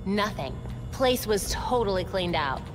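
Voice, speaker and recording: An actress playing a level-headed young female crime-fighter in a video game, frank, practical and steady. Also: medium pitch, unhurried, over music. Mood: earnest, reassuring. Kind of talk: dramatic video game acting.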